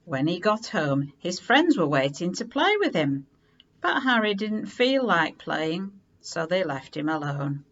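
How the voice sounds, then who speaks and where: A woman reading a children's story aloud in an expressive, unhurried way with dramatic emphasis. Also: lowish voice, dull audio.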